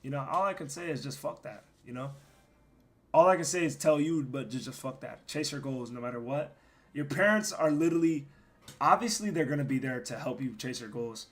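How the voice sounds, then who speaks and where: A young man, hoarse from losing his voice, giving casual, profane commentary over his gameplay and rambling between plays, sometimes breaking into loud yells. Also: medium pitch, expressive, fairly quick. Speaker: gaming streamer.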